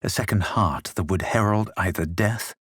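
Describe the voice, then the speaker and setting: A man's voice: fairly low pitch, moderate pace, a bit bright, husky, dry recording, studio quality. A man playing a haughty, self-assured fantasy adventurer in a video game, with a superior, clipped and dramatic delivery. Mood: grave narration. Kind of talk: video game voice lines and narration.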